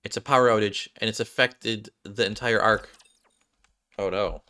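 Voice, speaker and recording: A man's voice: fairly low pitch, fairly even intonation, normal speed, bright, forceful tone, smooth. A man reading visual novel game story aloud as a streamer, with casual asides and some stumbles over the lines.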